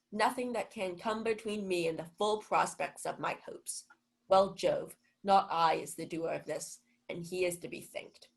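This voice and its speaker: A woman's voice: fairly low pitch, lightly acted, rough recording. A young woman playing a pompous, scornful male steward in a radio-style Shakespeare play, declaiming haughtily. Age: young adult. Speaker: student actor.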